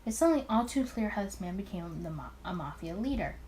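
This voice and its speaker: A woman's voice: mid-range voice, expressive, fast talker, mellow, a little hiss or hum. A woman reading a visual novel aloud as a host, with side comments, sometimes stumbling and rereading lines. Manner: stumbles over written lines and rereads them.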